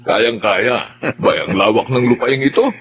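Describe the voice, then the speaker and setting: A man's voice: medium pitch, measured pace, a bit bright, husky. An actor with a Filipino accent playing a kindly, grateful older father in a radio drama. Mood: cheerful, obliging.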